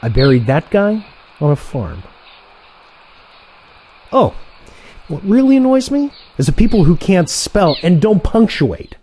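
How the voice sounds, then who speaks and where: A cocky, ruthless assassin in a comic audio play, acted by a man, full of petty irritation. The voice sits high for a man and jumps around animatedly as he complains. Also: very animated, a little slow, long pauses, dark tone, a little hiss or hum. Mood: defensive, casual.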